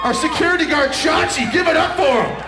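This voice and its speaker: A man fronting a rock band, giving bombastic, hammy shouted stage banter and singing over a live band. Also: very high-pitched, brisk, over a noisy crowd, very noisy. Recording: roomy live rock recording, loud music. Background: loud hard rock music.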